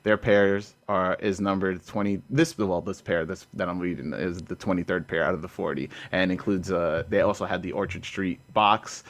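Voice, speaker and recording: A man's voice: sits low, fairly even intonation, moderate pace, hardly any pauses, no room sound. A man hosting a podcast in casual chat, laid-back and stumbling as he reads aloud. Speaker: podcast host.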